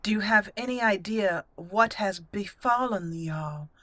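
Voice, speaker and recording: A woman hosting a game playthrough, roleplaying aloud with theatrical, deliberate phrasing. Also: medium pitch, a bit bright, no echo. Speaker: gaming channel host.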